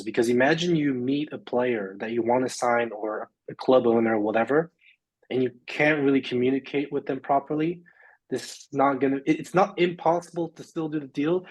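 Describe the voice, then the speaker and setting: A man's voice: medium pitch, darkish tone, low-quality recording. Rambling man guest on a podcast. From Luxembourg. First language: English. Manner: rambling, many fillers and restarts. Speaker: podcast guest, sports agent. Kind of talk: casual interview chat.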